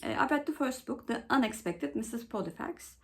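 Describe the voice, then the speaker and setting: A woman presenting a book vlog as host, going through questions in a halting way with frequent mid-phrase pauses. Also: on the high side, lively intonation. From Turkey.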